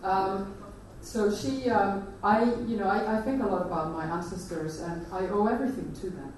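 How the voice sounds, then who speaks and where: Icelandic woman giving a talk, mellow voice, lots of ums, echoey hall, sounds far off from the mic. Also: mid-range voice, moderate pace, slight background noise. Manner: hesitant, many fillers and restarts. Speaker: conference speaker. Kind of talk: presentation talk. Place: large conference hall.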